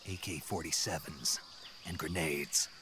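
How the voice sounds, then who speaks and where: A hardened covert operative in a stealth video game. His voice is very low and gruff, and he reports tersely, all business. Insect and jungle noise is clearly heard around him. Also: steady tone, unhurried. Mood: guarded. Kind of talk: scripted video game voice acting.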